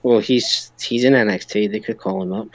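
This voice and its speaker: A man's voice: medium pitch, fairly even intonation, moderate pace, gravelly, poor audio. A man co-hosting a podcast, chatting casually about wrestling. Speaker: podcast co-host.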